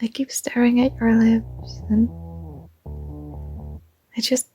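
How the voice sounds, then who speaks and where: A young woman playing a shy, smitten student in an intimate ASMR roleplay, speaking softly, hesitantly and tenderly, with some breathiness. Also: fairly high pitch, level tone, takes it slowly, faint music behind. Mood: nervous, breathless.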